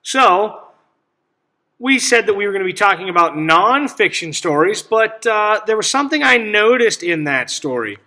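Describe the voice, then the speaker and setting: A man reading a picture book aloud to children as a teacher, pausing to ask simple questions and explain things. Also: high-pitched, big pitch swings, pushed and bright. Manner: instructive, checks understanding with simple questions.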